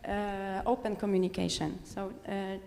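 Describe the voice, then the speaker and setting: A woman from the audience, speaking English as a philosopher at a conference, direct and insistent, often pausing with fillers. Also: mid-range voice, little pitch movement, gravelly, darkish tone, long reverb tail, slight background noise. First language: Polish. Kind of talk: conference floor remarks. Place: conference hall.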